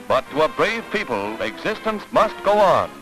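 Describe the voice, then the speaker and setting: A man's voice: a little high, very animated, moderate pace, rough recording. An American man narrating a 1940s newsreel in a declamatory, dramatic announcer style, on a vintage soundtrack. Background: orchestral newsreel music.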